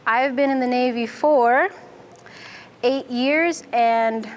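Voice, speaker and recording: Woman, young adult, from Fiji, clear and methodical, slight hiss behind. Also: fairly high pitch, slow. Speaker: Navy nuclear instructor and student. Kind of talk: interview answers.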